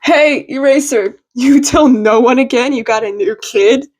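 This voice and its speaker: Woman acting out friendly masked man, casual, teasing, chatty, slow story-reading delivery. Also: sits high, darkish tone.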